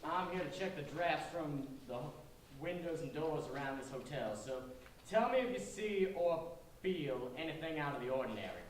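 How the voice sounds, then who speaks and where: A man's voice: on the high side, expressive, normal speed, close to an everyday voice. A man playing an evasive ghost hunter posing as an inspector in an amateur staged reading, recorded in an echoey room. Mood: guarded, official. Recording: very echoey room, distant mic, faint noise.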